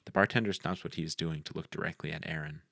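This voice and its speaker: A man's voice: rumbling low, fast, fairly bright tone, no echo, studio quality. A man narrating the action lines of a screenplay in a scripted read.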